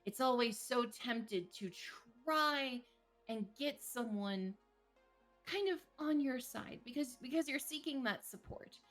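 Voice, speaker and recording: A woman therapist speaking as a guest and giving calm, measured advice on a live stream. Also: high-pitched, soft background music. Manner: slow, measured, reassuring explanations.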